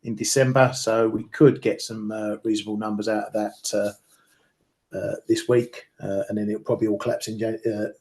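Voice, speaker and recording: A man's voice: lowish voice, level tone, normal speed, darkish tone. Hesitant man discussing markets. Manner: hesitant, many fillers and restarts. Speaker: market analyst.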